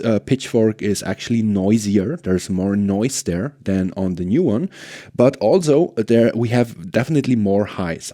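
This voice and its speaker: Low, mellow-voiced man reviewing gear. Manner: conversational, thinking aloud. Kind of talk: casual product demo commentary.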